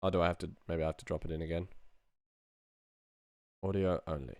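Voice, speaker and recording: A man's voice: low-pitched, steady tone, a little fast, darkish tone, clear, resonant tone, dry recording. A middle-aged man chatting casually as he works on a livestream, thinking aloud in a dry, self-deprecating way. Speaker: sound designer streaming his work. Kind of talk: casual livestream chat while working.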